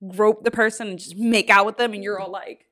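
A woman's voice: medium pitch, steady tone, a little fast, fairly bright tone. A young woman co-hosting a podcast, talking bluntly and profanely in casual chat, full of fillers and quoted reactions. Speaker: podcast co-host.

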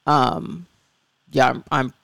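A woman co-hosting a podcast, candid and earnest, a little raspy and stuffy from allergies. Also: very deep voice, slow, dry recording, studio quality. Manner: candid, earnest, rambling with fillers. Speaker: podcast co-host. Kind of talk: casual chat.

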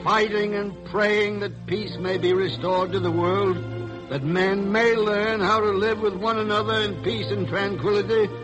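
A man's voice: high voice, fairly even intonation, measured pace, low-quality recording. An American man narrating solemnly and formally in the oratorical style of a 1940s radio announcer. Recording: old 1940s radio, music behind. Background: music.

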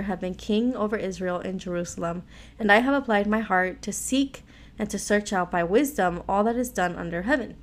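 Raspy American woman hosting a devotional. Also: medium pitch. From Miami, Florida, United States. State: hoarse from allergies. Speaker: devotional podcast host.

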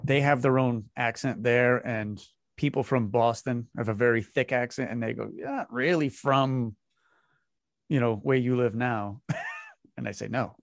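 A man from Massachusetts with a New England accent, hosting a casual interview, laid-back and halting, with plenty of fillers and chuckles. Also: mid-range voice, creaky, no echo, clean studio sound. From Massachusetts, near the Rhode Island border. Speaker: podcast host.

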